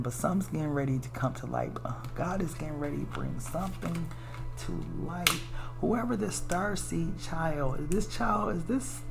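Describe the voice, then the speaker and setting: A woman's voice: low voice, creaky, poor audio. An American woman giving a casual, chatty tarot reading, musing aloud in informal, slangy, salty language. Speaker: tarot reader.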